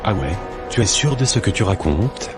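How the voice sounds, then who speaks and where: Guy playing a righteous earnest church brother in a cartoon, really low voice, music under it. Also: big pitch swings, moderate pace. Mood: shocked, puzzled. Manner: solemn, indignant. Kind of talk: amateur cartoon monologue and dialogue. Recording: music behind the voice.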